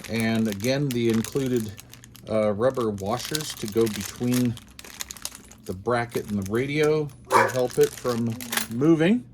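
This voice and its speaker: A man with an average-pitched voice presents a hands-on gear review. He talks informally, thinking aloud in short phrases as he goes. There is noticeable noise and some rustling behind him. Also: measured pace. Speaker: host of a radio gear channel. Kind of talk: casual unboxing and product commentary.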